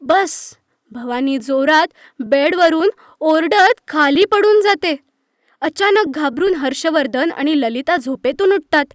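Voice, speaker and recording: A woman's voice: high-pitched, lively intonation, takes it slowly, no room sound, studio quality. A woman narrating a scripted children's story.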